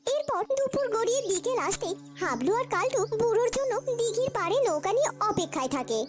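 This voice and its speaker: A woman's voice: very high-pitched, very animated, quick pace, over loud music. A woman narrator telling a cartoon story in a dramatic, scripted storytelling style.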